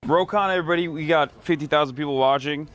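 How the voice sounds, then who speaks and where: A man's voice: on the high side, racing, a bit bright. A man streamer interviewing a guest in a friendly, loose way, with plenty of "uh" and "um". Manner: friendly, loose, hesitant fillers. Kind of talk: casual interview.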